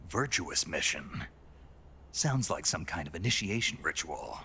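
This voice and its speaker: A gruff, dry-witted soldier. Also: male voice, rumbling low, very animated, a little slow, sharp tone, full character voice. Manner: terse, dry, questioning. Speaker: special forces operative. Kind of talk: video game cutscene acting.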